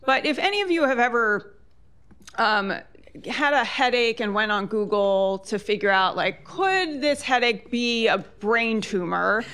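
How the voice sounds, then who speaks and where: A woman's voice: a little high, unhurried, fairly bright tone, smooth. A woman political science professor speaking on a panel, articulate and explanatory. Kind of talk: academic panel talk. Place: lecture hall with online audience.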